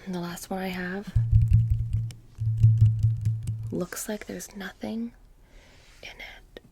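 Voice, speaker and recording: A woman playing a playful, menacing witch shopkeeper in a soft-spoken ASMR roleplay, breathy and often whispering, with a casual deadpan delivery. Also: mid-range voice, slow, over loud music. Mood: conspiratorial, mock-sinister. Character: playful, menacing, petty witch shopkeeper selling haunted trinkets.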